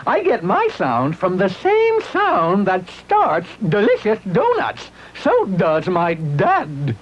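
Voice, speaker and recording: An actor playing an eager, polite donut-selling letter puppet in a children's show, bouncy and folksy. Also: male voice, high-pitched, wildly swooping pitch, takes it slowly, full character voice, poor audio. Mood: eager, friendly.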